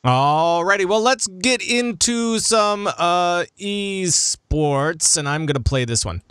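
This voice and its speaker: An animated male podcast host with a fairly high voice and a close, dry sound. He chats casually and jokily, his pitch swinging widely as he cuts into his own sentences. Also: slow, smooth, no echo. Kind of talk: casual gaming podcast chat.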